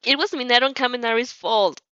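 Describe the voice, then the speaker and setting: A woman's voice: on the high side, quick pace, sharp tone, no room sound, muffled recording. A woman voicing a cheery, playful teenage schoolgirl with a bubbly, mock-innocent manner in a fan audio story.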